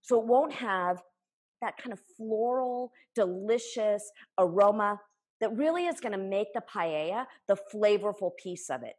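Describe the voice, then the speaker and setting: Woman, forties, cooking instructor, husky tone, eager explainer, home kitchen, camera a few feet off. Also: medium pitch, lively intonation, a little slow, a little mellow. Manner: enthusiastic, explanatory, talks while chopping. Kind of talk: live cooking demonstration. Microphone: camera a few feet away.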